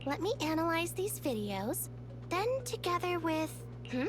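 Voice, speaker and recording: A woman voicing a wise, detached police investigation android in a video game, speaking in a measured, formal, matter-of-fact way. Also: very high voice, big pitch swings, over music, full character voice. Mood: curious, analytical.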